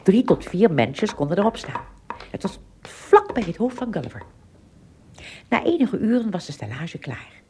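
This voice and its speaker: A woman narrating a scripted children's story expressively, with dramatic pauses and little asides. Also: medium pitch, sing-song, a little mellow, breathy edge.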